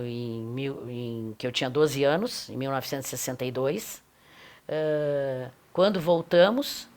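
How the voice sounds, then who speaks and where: A woman in her seventies from Portugal, telling her family story in an interview in a fond, chatty way. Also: low voice. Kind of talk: personal account in an interview.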